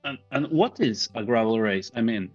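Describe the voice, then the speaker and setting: A man's voice: fairly low pitch, animated, moderate pace, faint music behind. A man, casually interviewing a guest on a video call. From Italy. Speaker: podcast host, interviewer. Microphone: earbuds on a video call. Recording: slightly dull video-call audio.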